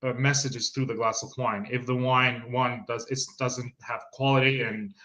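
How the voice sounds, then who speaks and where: Italian accented guy, middle-aged, bright punchy voice, lots of restarts and little laughs. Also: medium pitch, steady tone, normal speed, low-quality recording. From Italy. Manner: hesitant, many restarts, laughs often. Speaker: winery sales manager guest. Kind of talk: casual interview conversation.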